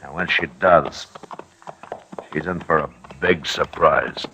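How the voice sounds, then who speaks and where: An actor playing a steady, laconic middle-aged American marshal in a radio western, measured, patient and firm. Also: male voice, very deep voice, lo-fi sound, slight background noise, full character voice. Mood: grave, firm. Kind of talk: 1950s radio western acting with narration.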